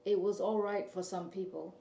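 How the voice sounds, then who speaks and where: Woman from Malaysia reading her short story aloud, mellow tone, recorded in a roomy space from a distance. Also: mid-range voice, fairly even intonation, normal speed, creaky. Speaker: author reading her own fiction. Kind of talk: literary reading.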